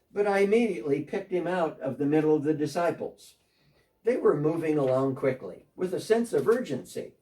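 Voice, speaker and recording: A man's voice: a little high, mellow, far from the mic. A man performing a first-person dramatic monologue as a short, eager, repentant tax collector, telling his story earnestly as if on a phone call. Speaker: dramatic reader.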